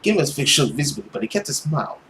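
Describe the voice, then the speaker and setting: A man's voice: on the high side, big pitch swings, quick pace, a bit bright, a little hiss or hum. A man narrating a visual novel aloud in a dramatic storytelling style as he plays it. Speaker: video game let's player.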